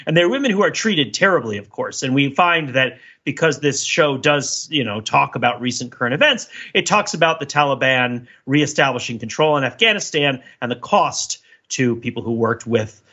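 A man's voice: medium pitch, lively intonation, moderate pace, hardly any pauses, bright, forceful tone, slightly rough, muffled recording. A man co-hosting a podcast, talking casually and analytically in a wordy, emphatic way and often checking in with "right?". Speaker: podcast co-host discussing a TV series. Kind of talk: casual analytical discussion among co-hosts.

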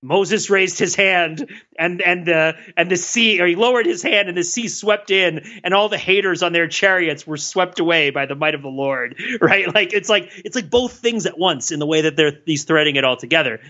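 A man co-hosting a podcast in casual chat, excitable and talking in quick bursts with many restarts. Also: high-pitched. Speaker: podcast co-host. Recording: dull lo-fi mic.

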